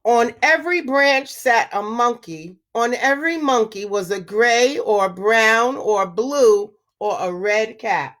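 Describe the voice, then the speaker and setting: Slow, expressive woman reading a storybook. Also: a little high. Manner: slow and expressive, asks the children questions. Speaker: children's story reader. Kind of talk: read-aloud storytelling for children.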